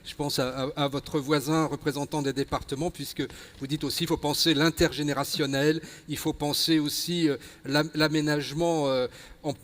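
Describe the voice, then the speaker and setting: Male conference moderator, French from France, slightly high voice, halting summaries, long hall reverb. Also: flat delivery. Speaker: panel moderator. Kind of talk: conference moderation, summing up and prompting. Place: convention plenary hall.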